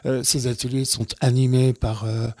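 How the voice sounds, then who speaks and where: A man's voice: medium pitch, flat delivery, moderate pace, warm tone, no room sound, clean studio sound. A man interviewing a guest, asking long questions with fillers. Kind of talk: radio interview.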